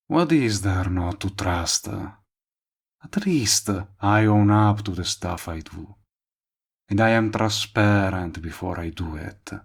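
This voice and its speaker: A man playing a smug but tender villain in love, speaking intimately to the listener in a romantic audio roleplay, with a low, husky voice. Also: heavily acted. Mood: smug, protective. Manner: slow, murmuring, teasing. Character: lovestruck male villain, smug yet tender, persistent suitor. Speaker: voice actor.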